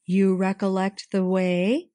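A woman reading a story, voicing a gentle, solemn spirit in a slow, measured way. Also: mid-range voice, flat delivery, dry recording, studio quality, lightly acted.

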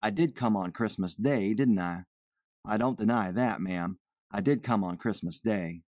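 A woman's voice: rumbling low, measured pace, a little mellow, no room sound, clean studio sound, voice pushed down. A woman voicing a nervous, evasive man who poses as a chicken buyer, with folksy, ungrammatical, rambling talk. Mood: uneasy, hedging.

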